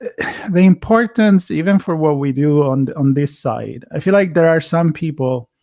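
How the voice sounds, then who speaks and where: A man's voice: on the high side, normal speed, darkish tone, dry recording, studio quality. A man with an Italian accent, a podcast host chatting casually in a musing, loosely phrased way. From Italy. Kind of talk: casual conversational interview.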